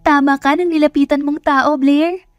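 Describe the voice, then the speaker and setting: A woman's voice: high voice, steady tone, moderate pace, no echo, faint music behind, pitched above the performer's own voice, close to an everyday voice. A woman voicing a boastful, silly young female friend in a comic moment of an audio drama, breezy and overconfident.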